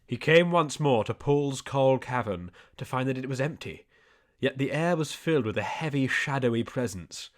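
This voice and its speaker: A man's voice: medium pitch, animated, moderate pace. A male audiobook narrator with a mid-range voice. He reads scripted comic fantasy prose as a storyteller.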